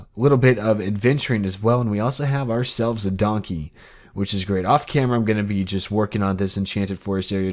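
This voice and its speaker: An eighteen-year-old man hosting his own game channel, talking casually and rambling upbeat with lots of fillers. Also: on the low side. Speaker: gaming channel host. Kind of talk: casual Minecraft commentary.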